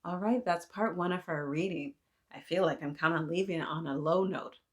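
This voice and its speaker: A woman, reading a children's book aloud and gently pausing to talk with young listeners. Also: fairly low pitch. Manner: gentle, asks kids to reflect, frequent "right?". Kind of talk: read-aloud storytelling for kids. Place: indoors, small room with painted mural.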